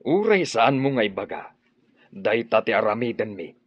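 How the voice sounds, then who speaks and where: An Ilocano henchman in a radio drama. His voice is fairly low and self-assured, a little defensive, recorded dry and dull. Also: sing-song, normal speed, slightly rough, no echo.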